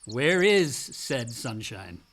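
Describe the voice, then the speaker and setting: An actor playing a sardonic, easily exasperated young adult son in a naturalistic radio play, speaking in casual, slangy, profane phrases. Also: male voice, medium pitch, very animated, takes it slowly, fairly bright tone, slight background noise. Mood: teasing.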